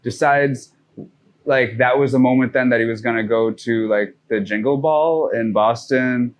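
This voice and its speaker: A man's voice: mid-range voice, unhurried. A man from the North Shore of Massachusetts, a podcast guest telling a long, rambling story in casual chat, swearing freely and restarting his sentences. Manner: profane, rambling, loose with fillers and restarts.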